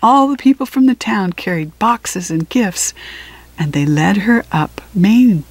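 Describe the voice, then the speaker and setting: A woman reads a children's picture book aloud at a slow pace. Her voice sits at a medium pitch, and she shapes each phrase expressively, leaving deliberate pauses. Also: animated, a little mellow, slightly rough, no echo. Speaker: storybook reader.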